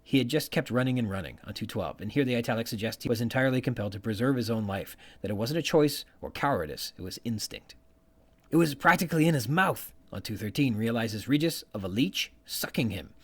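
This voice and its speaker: Male podcast host, medium pitch with very animated intonation, bookish, reading analytical notes and quotations. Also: brisk. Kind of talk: literary commentary reading from prepared notes, quoting passages.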